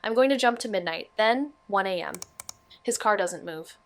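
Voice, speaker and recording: Young woman, amateur sleuth voiced by an actress, medium pitch, level-headed, dryly sardonic, casual mystery drama dialogue. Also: lively intonation, normal speed, natural voice. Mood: focused, dry. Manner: matter-of-fact, casual, sardonic. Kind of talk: scripted audio drama dialogue.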